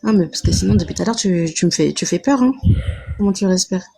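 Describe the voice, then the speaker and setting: A woman calling in to a live stream, asking caring, gentle questions in casual chat. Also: fairly low pitch, quick pace, gravelly, husky.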